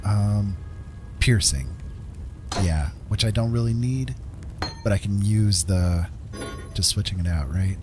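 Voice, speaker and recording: A man's voice: on the low side, slow pace, pauses often, music in the background. A middle-aged man livestreaming a game, chatting casually in a friendly, musing, polite way. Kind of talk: casual chat while gaming.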